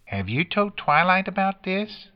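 A man's voice: high voice, sing-song, unhurried, lo-fi sound, voice pushed up. A man voicing a curious young pony filly with a nasally voice in a storybook reading. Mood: curious, puzzled.

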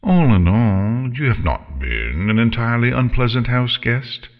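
A man plays a haughty female storybook dragon with a theatrical, swooping delivery that leaps upward in pitch. The dragon sounds prim and breezily menacing, condescending to its prey. The audio is slightly dull. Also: lively intonation, measured pace, in a large hall. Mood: breezy, fond.

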